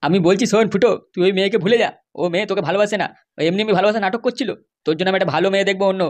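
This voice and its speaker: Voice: mid-range voice, racing, close to an everyday voice. Someone doing a blunt scolding cartoon dad. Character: gruff dismissive father. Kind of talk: cartoon voice acting.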